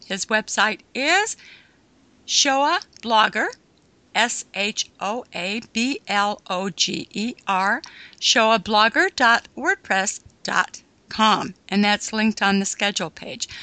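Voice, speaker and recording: Lady hosting a radio interview, bright and a bit high, halting, lots of fillers, dry sound. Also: lively intonation, no room sound. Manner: measured, halting with many fillers. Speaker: radio host.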